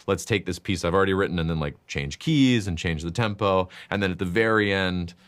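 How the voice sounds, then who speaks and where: A young man in his twenties chatting in an interview about making music, talking loudly and animatedly with lots of "like" fillers. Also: sits low, clean tone. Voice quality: loud voice. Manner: animated, talks in quick bursts with many "like" fillers. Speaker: guest musician and composer. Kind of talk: casual interview chat.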